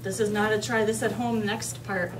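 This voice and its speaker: A woman's voice: mid-range voice, little pitch movement, moderate pace. A woman leading an interactive read-aloud lesson for young children, using simple instructional phrasing and frequent check-in questions. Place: kindergarten classroom with children. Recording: distant mic, clearly noisy room ambience.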